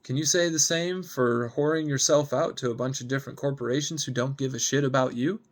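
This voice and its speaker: A man's voice: mid-range voice, steady tone, fairly quick, natural voice. A man plays a hungover young woman in a drama reading, an aspiring actress with a sarcastic edge. She is guarded and blunt, swearing freely and brushing people off. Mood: sardonic, guarded.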